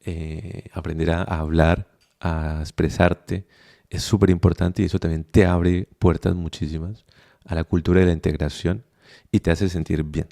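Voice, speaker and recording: A man's voice: low-pitched, level tone, normal speed, vocal fry, warm tone. A young adult man with a Colombian accent who is a guest on a podcast, speaking reflectively and thinking aloud with many fillers and restarts. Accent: Colombian Spanish. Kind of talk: conversational interview.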